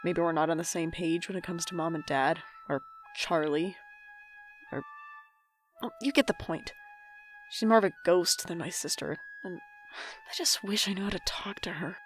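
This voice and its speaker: A woman's voice: lowish voice, normal speed, soft background music, natural voice. A woman playing a guarded, wry young adult who is estranged from family, talking and narrating introspectively in an audio drama. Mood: reflective. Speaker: main character and narrator.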